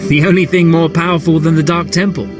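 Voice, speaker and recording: An actor playing a businesslike male Imperial functionary in a video game, brisk and officious. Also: a little high, fairly even intonation, loud music behind the voice, natural voice. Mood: surprised relief. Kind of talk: game cutscene dialogue acting.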